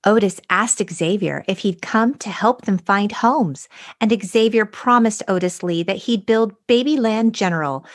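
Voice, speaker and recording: A woman playing a wide-eyed storybook narrator, reading a fairy tale in a deliberate, dramatic way. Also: on the high side.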